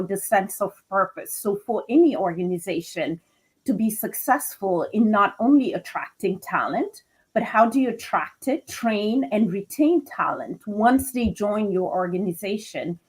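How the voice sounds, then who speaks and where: Woman guest from Senegal, HR executive, calm and structured, middle pitch. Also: breathy edge, low-quality recording. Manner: measured, structured, counting off points. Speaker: guest, chief people officer. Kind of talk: interview answers.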